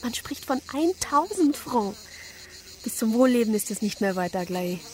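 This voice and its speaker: An actress plays a hopeful, loyal woman of a country household. Her voice sits fairly high and sounds earnest, in a radio drama with faint background noise. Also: lively intonation, moderate pace, natural voice. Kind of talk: German radio drama acting.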